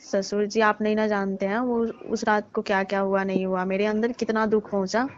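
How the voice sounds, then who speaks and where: A young newlywed daughter-in-law, played by a woman in a phone-call drama. She complains insistently in everyday speech, feeling wronged. The sound is dry and dull, like low-grade call audio. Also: level tone, moderate pace, no echo, close to an everyday voice. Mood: complaining, insistent.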